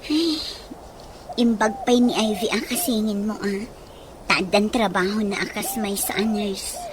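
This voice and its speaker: An actress playing a friendly, eager young nurse looking for work in a radio drama. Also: female voice, medium pitch, a little slow, lightly acted. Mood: hopeful. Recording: noisy, with ambient sound.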